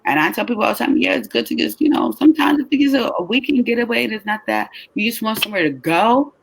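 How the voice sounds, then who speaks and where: A woman who is tired and short on sleep, raspy-voiced, chatting casually and lively as a travel business host. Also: fairly high pitch, fast talker, no echo, low-quality recording. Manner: lively, talks with her hands in her voice, leaning on questions to the listener. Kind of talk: casual chat.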